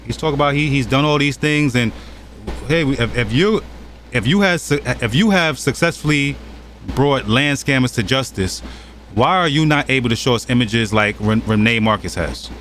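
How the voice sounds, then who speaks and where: Mid-pitched American man, mocking commentary host, faint noise in the room. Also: expressive, normal speed, fairly bright tone. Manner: mocking, challenging. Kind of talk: reaction commentary.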